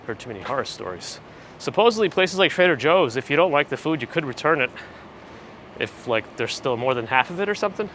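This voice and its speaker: Man, live-stream host, casual chat, slightly gravelly, phlegmy, walking outdoors, loud street traffic behind. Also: fairly high pitch, brisk. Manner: relaxed, chatty, dry asides. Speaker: livestream host. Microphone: handheld gimbal camera rig with recorder. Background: traffic and city ambience.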